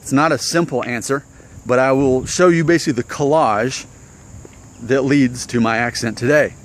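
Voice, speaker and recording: A man in his thirties from Alabama with a mild Southern American accent, talking casually to camera in a relaxed, chatty way. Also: mid-range voice, moderate pace. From Alabama, USA. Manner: relaxed, chatty, thinking aloud. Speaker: vlogger.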